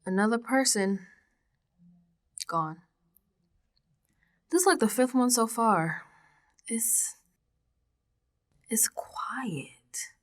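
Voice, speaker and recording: An actress performs a young American woman in a radio drama monologue, streetwise and unsettled. Her voice sits fairly high and swoops freely. She talks in casual, emphatic slang, as if confiding in a friend. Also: lively intonation, drawn-out words, long pauses. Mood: uneasy, hushed. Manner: colloquial, sassy, emphatic, dropping into whispers.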